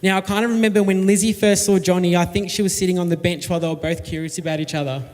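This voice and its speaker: Aussie guy doing a wedding toast, high voice, big echoey hall. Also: steady tone, very fast, darkish tone, a little hiss or hum. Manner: reminiscing, jokey, read from notes. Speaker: wedding speaker, friend of the couple. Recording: long hall reverb.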